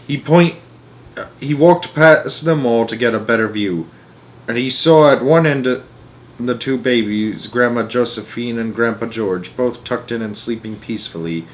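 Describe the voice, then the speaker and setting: A man's voice: medium pitch, normal speed, rough recording. Man reading a children's story aloud. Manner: expressive, stumbles over words and corrects himself. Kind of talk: amateur read-aloud narration with asides.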